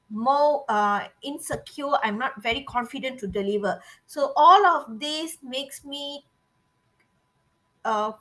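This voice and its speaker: A woman's voice: sits high, bright, forceful tone, low-quality recording. A woman whose first language is Tamil, giving an earnest personal panel talk at a club meeting. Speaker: panel speaker.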